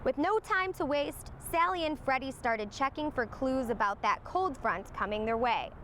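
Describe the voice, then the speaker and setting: High-pitched, animated woman reading a story. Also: moderate pace, slight background noise. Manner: expressive read-aloud. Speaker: storybook reader, meteorologist. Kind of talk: scripted children's story narration.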